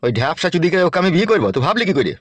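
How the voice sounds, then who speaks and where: Man voicing a fast-talking, bossy politician. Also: fairly high pitch, sing-song, dry recording. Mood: bossy, crude. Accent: West Bengal Bengali. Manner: crude, brash, smooth-talking. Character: scheming, lecherous, bossy male politician. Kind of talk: comedy cartoon voice acting.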